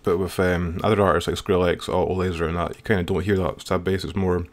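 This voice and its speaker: Male music producer, low register, informal and slightly hesitant, talking through a screen-recorded software tutorial. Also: little pitch movement, fast talker. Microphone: voice-over recorded while working at computer.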